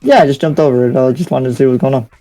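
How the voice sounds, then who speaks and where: A man's voice: mid-range voice, racing, dark tone, natural voice. A man playing an easygoing, curious demon slayer in an improvised anime game roleplay, casual and hesitant. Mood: sheepish. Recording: music behind.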